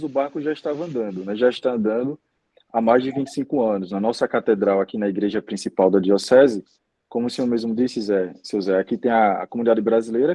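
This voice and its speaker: Husky young Brazilian priest. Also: male voice, mid-range voice, mellow, dry recording. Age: 20s. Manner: measured, many "né?" tags. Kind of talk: interview answers.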